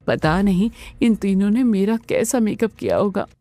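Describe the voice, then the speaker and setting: A woman's voice: medium pitch, moderate pace, warm tone, close to an everyday voice. A woman voicing a timid, long-suffering young daughter-in-law who turns defiant, with emphatic delivery in a cartoon family melodrama. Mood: resigned, anxious.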